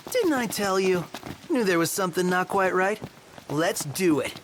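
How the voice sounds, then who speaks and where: Lively, casual young companion. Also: male voice, sits high, moderate pace, background noise. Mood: curious. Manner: informal, blunt. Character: young male road-trip companion, casual, grumbling and curious. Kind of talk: video game cutscene acting. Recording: game soundtrack music behind.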